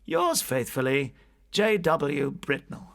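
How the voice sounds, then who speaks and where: A man's voice: a little high, huge pitch swings, slow pace, vocal fry, pitched above the performer's own voice, heavily acted. A man voicing a courteous art dealer's letter and catalogue in a clipped, businesslike manner for an audiobook. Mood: polite, formal.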